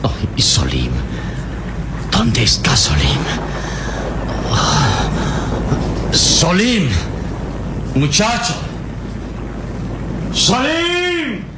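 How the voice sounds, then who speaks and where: An actor with a Latin American Spanish accent playing a wounded, gallant adventurer in an old-fashioned radio drama, anguished and determined, with labored, groaning, halting delivery. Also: male voice, high-pitched, wildly swooping pitch, full character voice. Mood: desperate calling. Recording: old radio drama under loud storm noise.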